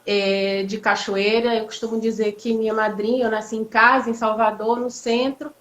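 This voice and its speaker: A woman's voice: a little high, level tone, moderate pace, fairly bright tone. A woman, a law professor talking reflectively with many fillers and restarts in a live online interview. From Bahia, Brazil. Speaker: law professor, guest speaker. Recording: echoey, dull lo-fi audio.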